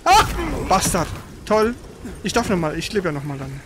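A man's voice: high voice, lively intonation, moderate pace, background noise. A man doing casual live gameplay commentary with a co-player, exclaiming, grumbling and swearing as he goes. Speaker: gaming streamer playing and commenting.

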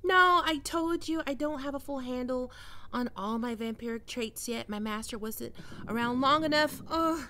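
A woman's voice: high-pitched, moderate pace, pushed and bright, a little hiss or hum. A woman playing a defensive young half-vampire catgirl roommate in an ASMR roleplay, teasing and stammering with hesitant tsundere bluster. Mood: flustered, embarrassed.

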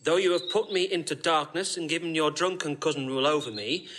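A man's voice: fairly high pitch, brisk, a bit bright. A man playing a servant who formally reads out an aggrieved letter in classical Shakespearean style. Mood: formal indignation. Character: servant reading aloud an aggrieved steward's letter.

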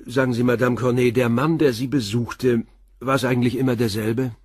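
An actor playing a calm police inspector who puts terse, probing questions in a radio crime drama. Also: male voice, medium pitch, a little fast, husky, no room sound, clean studio sound, close to an everyday voice. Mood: calm, inquiring.

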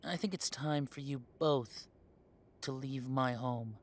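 A man's voice: medium pitch, takes it slowly, slight background noise, close to an everyday voice. A man playing a young adult werewolf in an audio drama, an estranged heir who talks with sarcasm and indignation. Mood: defiant. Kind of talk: audio roleplay drama acting.

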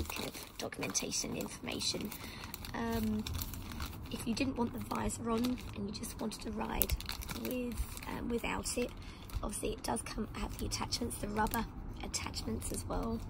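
A woman from the UK who has lost her voice, talking hoarsely and often in a whisper. She chats in a rambling, casual way with many ums. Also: a little high, background noise. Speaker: motorbike vlogger. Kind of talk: casual product unboxing. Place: outdoor garden table.

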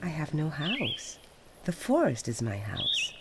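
A woman voicing a gentle, loving female tree in a storybook, speaking softly and tenderly. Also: low-pitched, huge pitch swings, slow, heavy background noise, full character voice. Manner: tender, pleading, soft. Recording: outdoors with birds and insects.

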